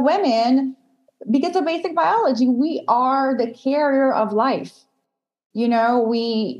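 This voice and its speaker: A woman whose first language is French, talking in a casual podcast interview in a chatty, opinionated way, full of fillers and quick laughs. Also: fairly high pitch, darkish tone, highs cut off. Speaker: guest, dating content creator.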